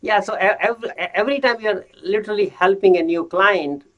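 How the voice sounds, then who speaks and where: A man conducting a casual interview, speaking haltingly and often repeating his words as he starts a thought. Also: high-pitched, fairly bright tone. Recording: dull lo-fi audio.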